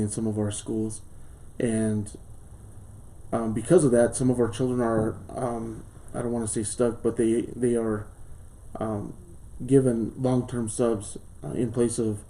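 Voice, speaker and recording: A man's voice: medium pitch, warm tone. Earnest man giving a campaign speech. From southern Colorado. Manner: earnest, formal, with pauses and ums. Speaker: school board candidate. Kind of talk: prepared campaign speech and interview answers. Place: small local studio.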